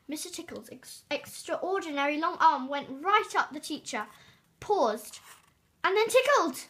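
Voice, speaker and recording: A woman reading a children's storybook aloud expressively, with dramatic, drawn-out emphasis on key words. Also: high voice, bright, forceful tone, slightly rough. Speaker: storybook reader.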